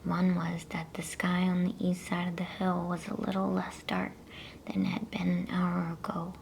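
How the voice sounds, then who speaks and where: Female ASMR reader, hushed creaky whisper, flat and very unhurried, for bedtime storytelling. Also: on the low side, a little hiss or hum, rough recording. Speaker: ASMR storyteller reading a children's novel aloud.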